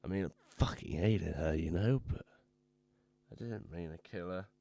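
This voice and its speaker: A man playing a troubled, hard-drinking man who tells his own story in halting, haunted phrases for a radio drama. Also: deep voice, creaky, warm tone, no room sound. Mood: remorseful confession. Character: troubled, drunken man confessing his ruin. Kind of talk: dramatic first-person radio drama monologue. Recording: music playing behind the voice.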